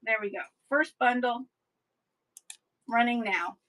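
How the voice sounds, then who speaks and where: A woman's voice: on the high side, slow pace, a bit bright, dry recording, poor audio. Chatty woman selling books on a live stream. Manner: chatty, playful sing-song asides and old-fashioned exclamations. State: voice getting hoarse. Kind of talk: casual live auction selling.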